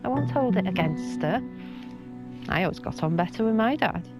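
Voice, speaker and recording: A woman's voice: medium pitch, animated, normal speed, lightly acted. A middle-aged woman playing a resigned, wistful mother in understated, naturalistic film dialogue, with music behind her.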